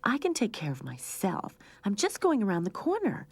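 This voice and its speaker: A woman's voice: normal speed, husky, exaggerated voice. A woman voicing a playful, adventurous young female cat in a storybook reading. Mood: sulky. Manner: high excited squeal.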